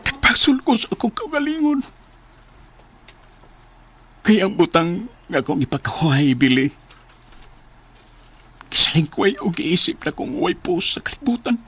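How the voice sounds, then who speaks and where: A man's voice: high-pitched, big pitch swings, unhurried, pauses often, fairly bright tone, husky, heavily acted. An actor with a Cebuano Filipino accent playing a humbled, repentant man of faith in a heartfelt radio drama. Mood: remorseful, tearful. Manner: heartfelt, pleading. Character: humbled, repentant, devout man saved from death. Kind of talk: emotional radio drama acting. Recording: radio drama with music underneath.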